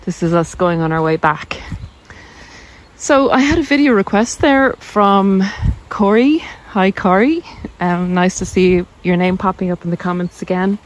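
A woman with an Irish accent talking informally and reflectively to viewers while out on a walk, pausing as she explains. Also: mid-range voice, animated, slight background noise, low-quality recording. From Ireland. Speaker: video host, narcissism coach. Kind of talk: casual chat to camera while walking. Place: outdoors on a country walk.

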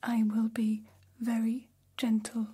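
A woman voicing a gentle, curious female alien in an ASMR roleplay, speaking in a breathy whisper with careful, formal phrasing. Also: fairly high pitch, monotone, takes it slowly, slight background noise. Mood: tender, soothing. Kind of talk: ASMR personal attention roleplay.

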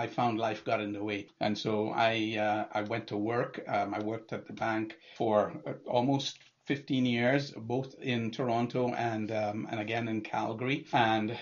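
Halting middle-aged man from Trinidad. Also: mid-range voice. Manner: halting, with frequent repeated words and fillers. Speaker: guest poet. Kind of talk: casual interview talk. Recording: dull lo-fi remote audio.

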